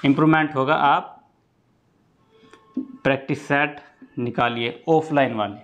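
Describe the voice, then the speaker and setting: A man's voice: mid-range voice, unhurried, soft background music. A man teaching exam preparation informally to students, explaining step by step, repeating himself and often checking that they follow. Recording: dull lo-fi sound.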